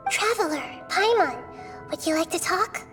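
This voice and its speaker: A woman voicing a gentle, wise young-girl goddess who speaks softly and patiently, in a video game scene. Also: very high-pitched, expressive. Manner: soft, patient, measured. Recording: game music under voice. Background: game background music.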